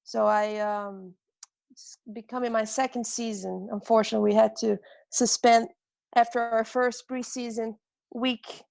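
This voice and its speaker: A woman with a Brazilian accent speaks in a gravelly, fairly flat voice, pausing often with ums and restarts. The recording sounds dry and close. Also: a little high, dry recording. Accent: Brazilian Portuguese. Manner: hesitant, many ums and restarts. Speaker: strength and conditioning coach. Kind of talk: guest telling her life story.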